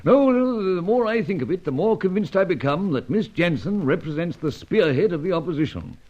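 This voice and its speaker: An actor playing a stuffy, suspicious older British spy chief, speaking in a formal, deliberate way in an old radio drama. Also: male voice, high-pitched, lively intonation, a little mellow. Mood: suspicious, firm. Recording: dull lo-fi old radio recording.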